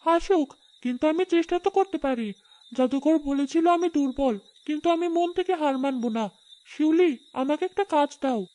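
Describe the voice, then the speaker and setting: Woman voicing a high-pitched, plaintive young cursed fairy. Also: a little fast, warm tone, lightly acted. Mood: determined, pleading. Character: gentle, self-doubting young cursed fairy living as human. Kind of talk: Bengali cartoon fairy-tale dialogue.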